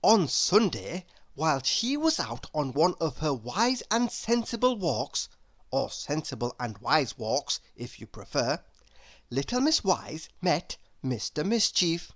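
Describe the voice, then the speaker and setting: A woman narrating a children's story in a measured, expressive read-aloud style. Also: low voice. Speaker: storybook reader.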